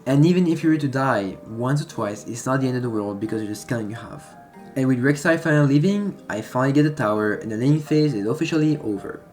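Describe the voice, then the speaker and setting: A young man with a French accent, his English a little non-native as he walks through his own match. He talks briskly at a middle pitch, with faint background music under the voice. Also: lively intonation, a little mellow. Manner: explanatory, slightly non-native phrasing. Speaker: gaming content creator. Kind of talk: gameplay commentary voice-over.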